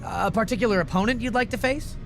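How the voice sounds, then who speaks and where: A man's voice: high-pitched, quick pace, creaky, background noise. A man playing a nervous, ingratiating backstage staffer in a video game, hesitant and awkward, with music behind him.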